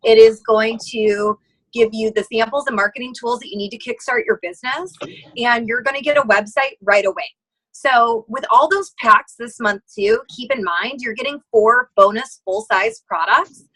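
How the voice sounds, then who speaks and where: Bright, high-voiced woman giving an encouraging pitch. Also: expressive, normal speed, breathy edge, poor audio. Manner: earnest, encouraging. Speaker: sales team member. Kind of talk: casual motivational sales pitch. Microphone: conference call.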